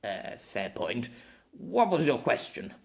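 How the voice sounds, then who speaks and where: A man acts out a young former knight in an audiobook story. He is cocky and wry, bantering with a lively, moving pitch and a self-deprecating streak. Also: slow pace, vocal fry, heavily acted. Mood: teasing.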